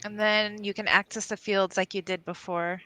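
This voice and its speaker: A woman's voice: mid-range voice, flat delivery, normal speed, pushed and bright, low-quality recording. A woman guest developer chiming in with short, helpful remarks during a casual coding chat.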